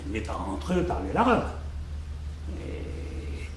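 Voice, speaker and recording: A man's voice: fairly low pitch, huge pitch swings, slow pace. An older man from Algeria, interviewed in a conversational way, reflective, repeating words as he searches for them. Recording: echoey room, faint background noise.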